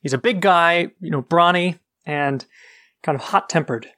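Slightly high-voiced man explaining books. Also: a little slow, pushed and bright, no echo, studio quality. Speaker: podcast co-host. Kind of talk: casual book chat.

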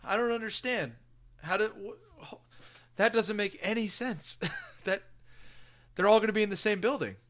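A man's voice: sits high, moderate pace, pauses often, a bit bright. A man co-hosting a podcast, exasperated and incredulous in casual chat. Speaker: podcast co-host.

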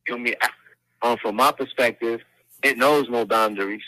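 A man in his forties, a podcast guest, speaking in a measured, earnest way with religious turns of phrase. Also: medium pitch, fairly even intonation, pushed and bright, no echo, rough recording. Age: 40s. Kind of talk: casual podcast chat.

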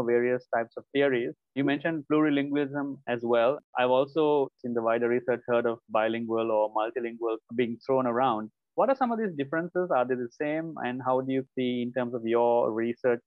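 A man from South Asia hosting an academic interview, affirming the guest and asking long, measured questions. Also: mid-range voice, no echo. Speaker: podcast host. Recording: dry tinny phone-like line.

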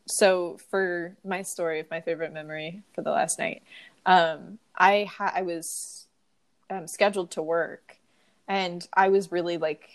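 Young woman cohosting a podcast, a bit sniffly, lowish voice, lots of ums and likes. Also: fairly even intonation, a little slow. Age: young adult. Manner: chatty, filler-heavy, emotional. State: sniffly from crying. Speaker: podcast co-host. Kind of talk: casual chat, storytelling.

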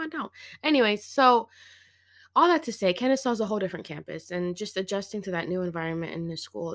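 Slightly hoarse woman with vocal fry. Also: mid-range voice, fairly bright tone. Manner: chatty and slangy, many fillers and small laughs. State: slightly hoarse after illness. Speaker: podcast host. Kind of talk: casual solo podcast chat.

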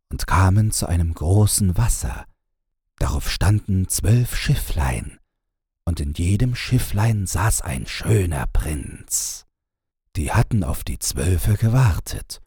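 A man narrating a scripted fairy tale as an audiobook, in a measured storytelling manner. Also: deep voice, dark tone, husky, dry recording, clean studio sound.

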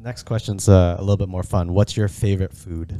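A man's voice: deep voice, steady tone, brisk, mellow. A man co-hosting a casual chat, plainly reading out questions. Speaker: host.

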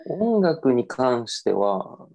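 A man's voice: mid-range voice, sing-song, very slow, vocal fry, mellow. A man in his twenties telling stories as a podcast guest, rambling and correcting himself in casual chat. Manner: rambling storytelling with self-corrections and laughs.

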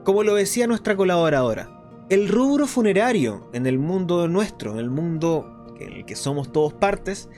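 An earnest underground radio host acted by a man delivers a scripted radio drama monologue in a fairly high male voice. He explains things deliberately and didactically, with faint music behind him. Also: big pitch swings, a little mellow. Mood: explanatory, intrigued. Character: clandestine radio host of a dystopian resistance show, earnest and explanatory.

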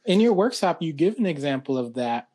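Measured man hosting a podcast. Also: fairly high pitch, very animated, mellow. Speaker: podcast host. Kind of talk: interviewing on a podcast.

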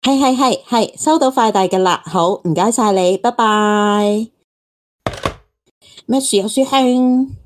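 An actress with a Hong Kong Cantonese accent playing a busy, hurried office colleague in a radio drama. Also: female voice, on the high side. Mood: busy, brisk.

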